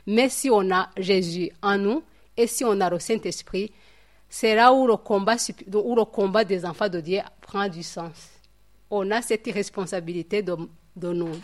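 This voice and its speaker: A woman from Rwanda teaching from the Bible at a study group. Her speech is halting and reflective, full of fillers and repeated words as she searches for the next phrase. Her voice sits at a middle pitch.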